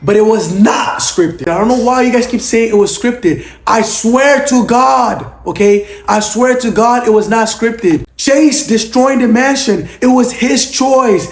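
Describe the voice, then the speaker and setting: Young male vlogger, hoarse and losing his voice, high-pitched, emphatic Q&A delivery, echoey room. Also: unhurried, hardly any pauses. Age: young adult. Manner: emphatic, keeps tagging on "okay?". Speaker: former reality show contestant. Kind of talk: casual Q&A vlog. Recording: roomy echo.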